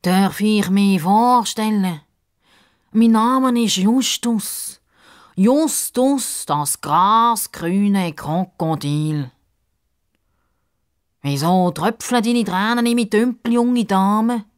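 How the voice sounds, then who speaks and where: Children's story: small gentlemanly crocodile, played by a woman, raised pitch, slow formal delivery, eager to help. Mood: courteous, caring. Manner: formal, slow, deliberate introductions and polite address. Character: small grass-green male crocodile, courteous, helpful, quirky gentleman.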